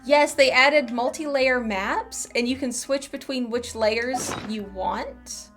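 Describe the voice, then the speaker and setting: A woman's voice: high voice, slow, a bit bright. A woman doing casual, playful gameplay commentary as a streamer, chatting away to herself. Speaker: game streamer. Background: game music.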